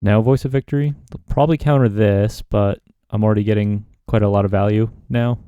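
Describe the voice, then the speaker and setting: A man's voice: on the low side, brisk, smooth, no room sound, phone-quality sound. A man giving casual commentary while playing a card game, thinking aloud about his choices. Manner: relaxed, musing, talks himself through plays. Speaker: gaming content creator.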